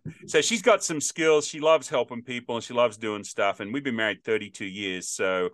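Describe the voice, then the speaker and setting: A man's voice: medium pitch, normal speed, a bit bright. A man in his fifties hosting a podcast interview, chatting in a rambling, storytelling way with frequent restarts. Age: 50s. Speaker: podcast host. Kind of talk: casual interview chat.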